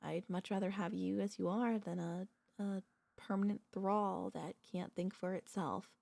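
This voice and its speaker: A woman voicing a centuries-old vampire mistress, a tender, possessive girlfriend, speaking softly and intimately with courtly wording and hesitant pauses in an ASMR roleplay. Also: medium pitch, fairly even intonation, creaky, no room sound. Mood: tender, confiding. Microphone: close studio microphone, dry.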